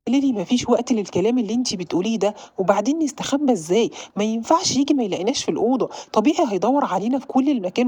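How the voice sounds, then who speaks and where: A woman voicing a young boy who acts the protective big brother, talking fast and bossily. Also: a little high, warm tone, breathy edge, no echo. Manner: bossy, protective. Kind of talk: audio drama.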